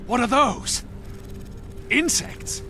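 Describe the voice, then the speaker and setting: Video game royal escort: wry, watchful soldier, dry delivery, over a clear music bed. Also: male voice, extremely high pitch, lively intonation, very slow, sharp tone, heavily acted. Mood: dry, wary. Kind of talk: video game character dialogue.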